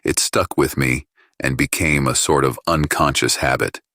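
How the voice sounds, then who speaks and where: A man's voice: a bass voice, fairly even intonation, moderate pace, sharp tone, no room sound, clean studio sound, full character voice. A man voicing a sheepish, hesitant male courier pilot in audiobook dialogue. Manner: hesitant, embarrassed.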